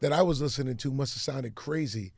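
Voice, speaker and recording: A middle-aged man with a raspy voice, a music executive telling stories freely as a guest in a casual radio-studio interview. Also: medium pitch, fairly quick, no echo. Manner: animated storyteller, talks freely.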